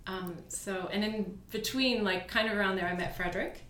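A woman's voice: medium pitch, moderate pace, fairly bright tone, roomy sound, slight background noise. A woman from Virginia in the United States, a podcast guest chatting casually and easily, with many fillers and restarts. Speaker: podcast guest, beauty brand co-founder. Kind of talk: casual interview chat. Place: studio.

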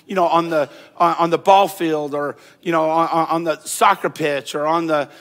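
Male pastor, fairly high voice, conversational sermon delivery in a church hall with long reverb. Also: moderate pace. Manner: conversational preaching with repeated phrases, growing animated.

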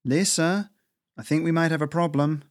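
Man voicing a defensive, high-pitched girl squirrel. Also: fairly even intonation, normal speed, no echo, clean studio sound, close to an everyday voice. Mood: grim resolve. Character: defensive, apologetic young female squirrel, brave but rash.

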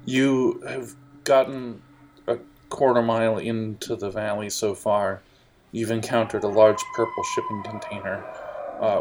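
A man narrating a tabletop role-playing game as game master, halting with fillers and restarts. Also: fairly low pitch, slight background noise.

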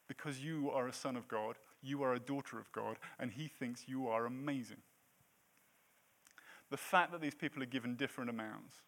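A man's voice: medium pitch, fairly bright tone. A man in his late thirties preaching a sermon in a church, with a self-deprecating, jokey manner between points. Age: 30s. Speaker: pastor.

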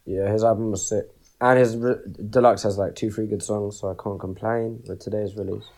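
A young man rattles through his opinions on new releases at a brisk clip. His voice is fairly low and his talk is full of slang. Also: steady tone, creaky, a little mellow. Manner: quick, agreeable, slangy. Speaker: podcast co-host. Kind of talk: casual chat about music.

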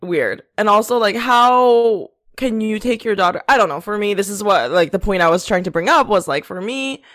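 A woman's voice: medium pitch, animated, moderate pace, fairly bright tone. A woman co-hosting a podcast in casual chat, chatty and laughing often. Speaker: podcast co-host.

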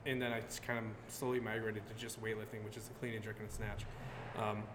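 A man in his thirties, a guest artist, talking loosely about his work and sports, heavy on filler words and quick laughs. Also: lowish voice, flat delivery. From Connecticut. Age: 30s. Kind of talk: casual podcast chat. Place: brewery taproom. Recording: roomy live venue with loud background noise.

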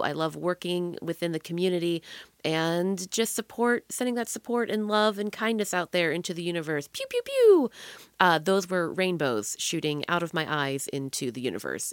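A woman hosting a podcast in a chatty, playful, bubbly way with exaggerated emphasis and a slightly hoarse, cracking voice. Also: lowish voice, dry recording. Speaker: podcast host.

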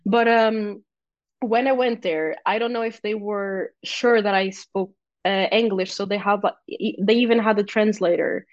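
A talkative young woman with a Portuguese accent answers in a relaxed interview, restarting her sentences and filling gaps as she goes. Her voice sits mid-range and sounds close and dry, a little dull and lo-fi. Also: medium pitch, measured pace, dry recording. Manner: talkative, many restarts and fillers, laughs easily. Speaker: guest athlete. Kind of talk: casual interview chat.

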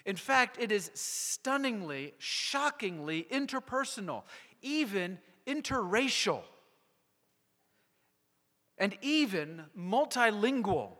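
A male pastor preaching a sermon to a congregation, emphatic and rhetorical, with deliberate pauses. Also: high voice, sing-song, bright, forceful tone. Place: church sanctuary. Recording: long hall reverb.

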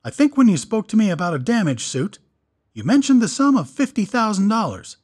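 A man's voice: high voice, sing-song, a little fast, darkish tone, slightly rough, pitched above the performer's own voice, exaggerated voice. A man voicing a sly, dry-witted doctor who speaks in a purring, wry way. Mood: firm. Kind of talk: audiobook character voice.

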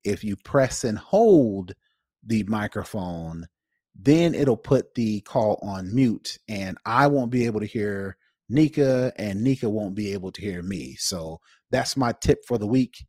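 A man's voice: on the low side, lively intonation, a little slow, a little mellow, no echo, studio quality. A man co-hosting a podcast in casual chat, explaining things informally and circling back to rephrase. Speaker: podcast co-host.

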